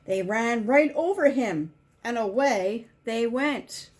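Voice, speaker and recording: Female storybook reader, higher register, takes it very slowly, stresses key words for young listeners. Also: expressive, darkish tone. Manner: simple, deliberate storytelling with emphasized key words. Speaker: volunteer children's book reader. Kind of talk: expressive picture-book read-aloud.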